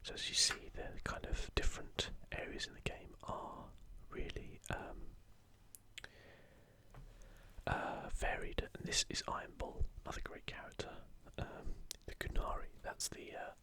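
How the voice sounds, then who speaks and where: A man speaking in a soft, breathy whisper, gently musing in a casual ASMR show-and-tell close to the microphone. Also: measured pace. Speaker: host of an ASMR gaming channel.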